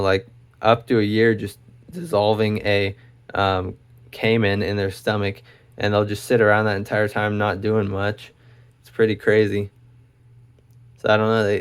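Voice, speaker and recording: Low flat-voiced man rambling about animals. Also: clean tone. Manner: rambling, many fillers, enthusiastic about animals. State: losing his voice. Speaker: educational video host. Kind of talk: casual unscripted explainer.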